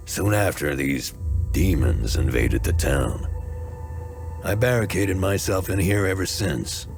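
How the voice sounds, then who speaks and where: Deep, gruff town mechanic. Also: male voice, slow, a little mellow, faint music behind. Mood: grim, shaken. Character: gruff town mechanic and scrapyard owner, shaken survivor. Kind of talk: video game cutscene acting.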